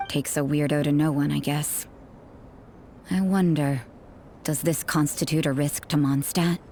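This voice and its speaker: A woman's voice: deep voice, fairly even intonation, unhurried, dark tone, a little hiss or hum. A woman playing a wary, suspicious observer with a dry, mildly scornful way of talking, in a game.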